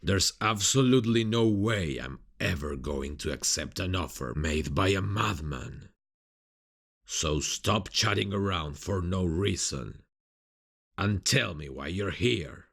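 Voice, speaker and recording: A man playing a grim, defensive detective in an ASMR roleplay, terse and stern with a husky voice. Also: sits low, lively intonation, takes it slowly, a bit bright, exaggerated voice. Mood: stern refusal. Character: grim, defensive detective haunted by past failures.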